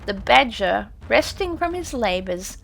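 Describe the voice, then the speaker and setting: A woman narrating a classic children's story as an audiobook, reading in a lively, theatrical way. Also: fairly high pitch, unhurried, creaky, a little hiss or hum.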